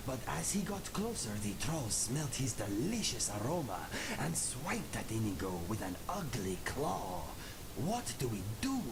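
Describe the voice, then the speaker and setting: A man's voice: mid-range voice, creaky, noisy background. A man voicing a proud, affable cat-man adventurer in a fantasy game, telling stories theatrically and formally with whispery suspense. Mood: suspenseful storytelling. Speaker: companion character in a fantasy game. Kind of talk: theatrical storytelling in the third person.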